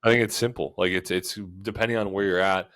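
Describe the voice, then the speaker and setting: A man's voice: low-pitched, fast talker. A young man co-hosting a casual podcast, nasal and stuffed up from a cold, talking loosely with lots of "like" and "right?". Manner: relaxed, repeats "like" and "right?" often. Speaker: podcast co-host.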